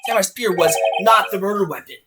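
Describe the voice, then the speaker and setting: A man's voice: high voice, fast, heavy background noise, lightly acted. A man voicing an earnest, dogged young male defense lawyer in a fan-dubbed courtroom game, with an emphatic, declamatory delivery. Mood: confident. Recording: loud music behind the voice.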